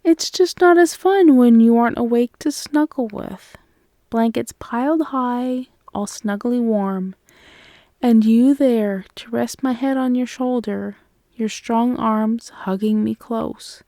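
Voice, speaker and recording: Woman as doting girlfriend, ASMR roleplay, soft cooing, teasing, relaxed tone, very dry close sound. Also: a little high, slow pace, no echo. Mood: cosy, content. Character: loving, playful, affectionate girlfriend waking her partner.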